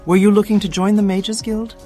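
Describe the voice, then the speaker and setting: A woman's voice playing a haughty female dark elf guild leader in a fantasy game, formal and imperious. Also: mid-range voice, level tone, brisk, soft background music, natural voice. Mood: formal, aloof. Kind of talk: fantasy game character dialogue.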